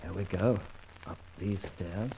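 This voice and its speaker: An actor playing a dashing, breezy gentleman adventurer in an old-time radio detective drama. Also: sits low, takes it slowly, creaky, background noise, exaggerated voice. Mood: hushed, alarmed. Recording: dull, lo-fi old radio recording, faint music.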